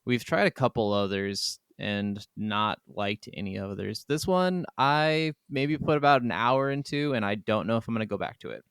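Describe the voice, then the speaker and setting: Easygoing man with a low, smooth voice. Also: lively intonation, moderate pace, few pauses, a bit bright, dry recording, studio quality. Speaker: podcast co-host. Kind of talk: casual gaming podcast chat.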